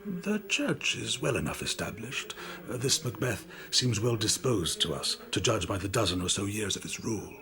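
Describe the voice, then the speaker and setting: A man's voice: on the low side, sing-song, normal speed, bright, forceful tone, a little hiss or hum, heavily acted. An actor playing a learned, deferential churchman in a radio drama, speaking carefully with courteous hesitation. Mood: measured, hesitant. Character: deferential, learned churchman advising an ailing pope.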